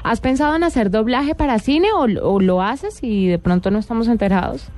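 A woman's voice: a little high, normal speed, darkish tone. A woman hosting a casual radio interview in a conversational style. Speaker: radio host.

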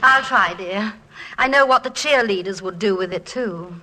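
An actress playing a fond, teasing wife of a college president in 1940s radio comedy, affectionate, playful and theatrical. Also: female voice, mid-range voice, normal speed, close to an everyday voice. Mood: soothing, teasing. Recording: noisy old 1940s radio recording with clicks.

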